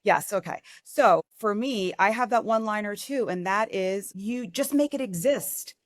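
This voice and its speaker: A woman's voice: mid-range voice, moderate pace, dry recording, studio quality. A woman in her forties hosting an interview, talking in an effusive way and often restarting her sentences. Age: 40s. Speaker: podcast host. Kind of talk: casual interview conversation.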